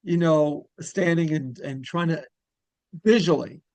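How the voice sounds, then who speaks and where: A man's voice: a little high, measured pace, a little mellow, no echo. A man interviewing a guest as show host, conversational and casual, with loose, rambling questions.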